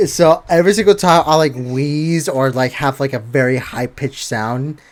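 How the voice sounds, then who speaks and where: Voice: medium pitch, normal speed, no echo. A young podcast host chatting over a voice call from their bedroom, swearing casually and laughing hard. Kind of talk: casual chat. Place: own bedroom. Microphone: computer mic over a voice call.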